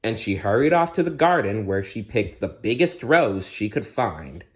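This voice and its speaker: A man's voice: fairly low pitch, very animated, normal speed. A man reading a bedtime story aloud expressively, now and then speaking to young listeners. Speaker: storybook reader.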